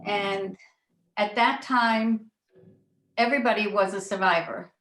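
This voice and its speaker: An older woman from Israel shares personal memories on a panel. She speaks deliberately, at a medium pitch, repeating phrases for emphasis. The recording has a noticeable room echo. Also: steady tone, a bit bright. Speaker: panelist, child of Holocaust survivors. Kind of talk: personal recollection in a panel discussion. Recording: echoey room sound.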